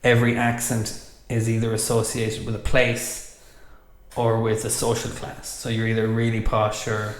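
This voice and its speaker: Flat-voiced Irish man in echoey room. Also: fairly low pitch, normal speed. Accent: neutral Irish. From Ireland. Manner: thoughtful, hesitant with fillers. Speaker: host. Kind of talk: casual chat. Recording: roomy echo.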